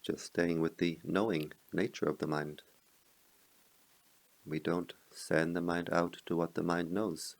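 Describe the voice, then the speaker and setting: A man leading a guided meditation as a teacher, speaking in slow, measured phrases with long pauses. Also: low voice, flat delivery, vocal fry.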